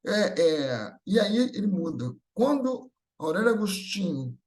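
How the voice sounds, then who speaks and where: High-voiced Brazilian man giving a spiritual talk. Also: sing-song, measured pace, darkish tone. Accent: Brazilian Portuguese. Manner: didactic, checks in with short tag questions, repeats phrases for emphasis. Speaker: speaker at a Spiritist gathering. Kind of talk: spiritual talk, semi-improvised teaching.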